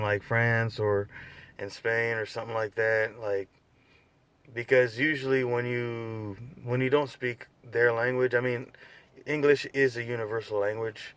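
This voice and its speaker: A man's voice: medium pitch, a bit bright, no echo. A tired young man from the United States vlogging casually, rambling with lots of fillers and restarts. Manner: laid-back, rambling, many fillers and restarts. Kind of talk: unscripted casual vlog.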